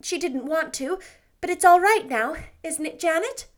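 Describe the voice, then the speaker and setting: A woman voicing an eager, impulsive young heroine, high and pressing. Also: animated, moderate pace. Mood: imploring. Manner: urgent, emphatic pleading. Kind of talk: storybook reading.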